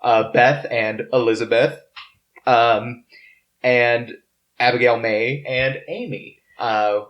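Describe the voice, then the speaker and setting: A man with a medium-pitched voice hosts a casual table discussion. He talks informally and haltingly, piecing together facts from his notes as he goes. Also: steady tone, muffled recording. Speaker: podcast host. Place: in-person recording around a table.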